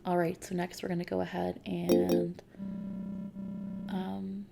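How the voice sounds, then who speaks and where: A woman playing a friendly, reassuring technician at a futuristic customization service in a soft-spoken, casual ASMR roleplay. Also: lowish voice, monotone, loud music behind the voice, heavily acted. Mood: gentle, reassuring. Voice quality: soft, creaky. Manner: gentle, reassuring, casual, unhurried.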